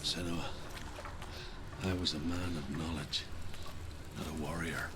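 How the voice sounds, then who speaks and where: An actor playing a weary, haunted former scholar from Ireland in a video game, speaking gravely and deliberately as a mentor. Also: male voice, fairly even intonation. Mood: sorrowful, confessional. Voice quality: very deep, gravelly. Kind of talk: dramatic video game acting. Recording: clear ambient noise behind.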